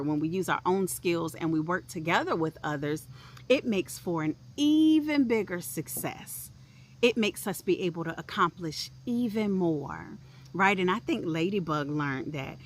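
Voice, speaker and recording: A woman hosting a children's storytime, speaking expressively and encouragingly to young listeners in a deliberate way. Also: lowish voice, a little hiss or hum. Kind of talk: expressive read-aloud for preschoolers.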